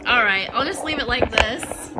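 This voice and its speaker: A woman's voice: on the high side, animated, normal speed, over loud music. A woman hosting a live online radio show, chatting casually with viewers as she shares news items. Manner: chatty, addressing viewers and reading their comments.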